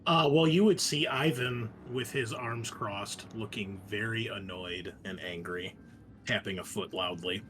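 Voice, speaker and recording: A man's voice: on the low side, a little slow, noisy background. A man chatting casually as a tabletop game player, describing his character and what he does.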